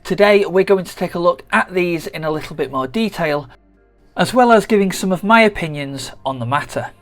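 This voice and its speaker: Gaming youtuber voice, mid pitch, explaining stuff in a measured scripted way, music playing behind. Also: animated. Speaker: video creator and host of a gaming channel. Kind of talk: scripted video essay narration. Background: background music.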